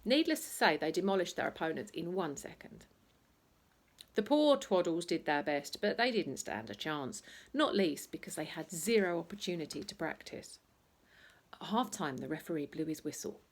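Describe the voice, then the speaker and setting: A woman reading a children's bedtime story aloud in an expressive, playful way, acting out noises as she goes. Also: on the low side, moderate pace. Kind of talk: animated bedtime story reading with sound effects and asides.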